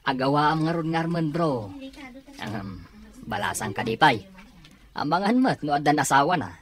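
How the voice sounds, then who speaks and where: A man's voice: a little high, big pitch swings, unhurried, long pauses. A man with an Ilocano accent playing a nosy, amused, teasing buddy in a radio comedy drama.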